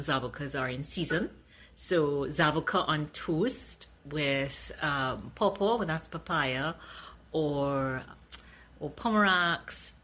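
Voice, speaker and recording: A Trinidadian woman with a low voice and a slow, unhurried pace. She records an informal personal message as a listener contributor, in a Trinidadian accent. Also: a bit bright, rough recording.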